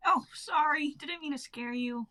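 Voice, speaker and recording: A woman's voice: sits high, normal speed, bright, forceful tone. A woman reading the part of a breezy, cryptic female stranger in a stage play, casual and teasingly evasive. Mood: friendly, puzzled.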